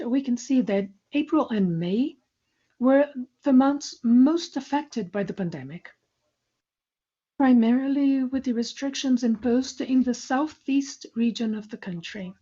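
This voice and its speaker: A woman speaks in a somewhat high yet very mellow voice. She delivers a scripted business presentation, formal and measured. The sound is a little muffled, as on a conference call. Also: husky. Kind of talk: scripted corporate financial presentation.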